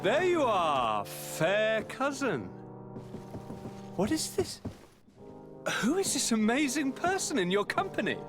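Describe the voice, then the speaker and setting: An actor playing a flamboyant, playful young noble governor in a video game, with flowery, pompous courtly speech. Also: male voice, very high voice, wildly swooping pitch, takes it slowly, long pauses, heavily acted. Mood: delighted wonder. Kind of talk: dramatic video game acting. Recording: loud music behind the voice.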